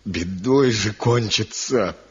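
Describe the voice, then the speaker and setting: An actor playing an anxious, pleading old village father in a radio drama, with a husky voice. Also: fairly low pitch, slow pace, heavily acted. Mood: pleading, troubled. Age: older. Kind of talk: Soviet radio drama acting. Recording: dull 1970 radio play recording.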